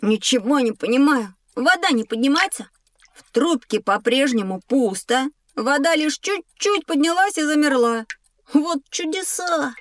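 High-pitched, cheeky young schoolboy. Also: female voice, expressive, measured pace, no echo. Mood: amazed, puzzled. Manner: exclamatory, pert, questioning. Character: curious, cheeky schoolboy, quick to judge. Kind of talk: children's educational audio drama acting.

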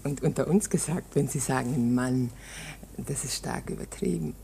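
An actress playing a young court usher's wife in a German radio play, chatty, confiding and coquettish. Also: female voice, rumbling low, normal speed, creaky, dark tone, slight background noise. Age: young adult.

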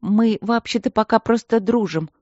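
Woman playing an earnest young princess, higher voice. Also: normal speed, dark tone, dry recording, lo-fi sound, lightly acted. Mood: indignant. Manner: naive, indignant, curious. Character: earnest, easily offended young princess student.

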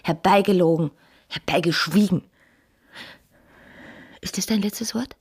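An actress playing a bitter, demanding mistress with a husky, breathy voice in a radio drama. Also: female voice, lowish voice, unhurried. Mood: accusing.

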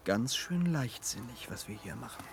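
Casting: guarded park worker, radio play, hushed and hesitant delivery, animated intonation, light outdoor ambience. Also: male voice, mid-range voice, normal speed, creaky, slight background noise. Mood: wary, hesitant. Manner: hushed, hesitant, partly whispered. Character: wary male worker in a futuristic animal park. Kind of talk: German science-fiction radio play acting.

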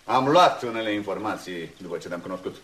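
A man's voice: fairly low pitch, lively intonation, a bit bright. An actor playing a haughty, imperious man in a radio drama, clipped, contemptuous and commanding. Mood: scornful. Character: haughty, imperious male antagonist demanding his way. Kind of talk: Romanian radio drama acting. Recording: noisy old radio drama recording.

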